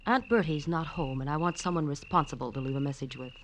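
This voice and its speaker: A woman's voice: low-pitched, quick pace, slight background noise, lightly acted. An actress playing a cool, menacing woman with a gun, curt and mockingly polite, in old radio drama style. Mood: cold, commanding. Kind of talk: 1940s-50s radio detective acting.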